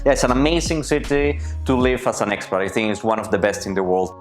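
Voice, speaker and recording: A man's voice: lowish voice, animated, quick pace. Thoughtful man, faint music behind. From Colombia. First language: Spanish. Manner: thoughtful, searching for words. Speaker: interviewee. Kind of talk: personal interview answers.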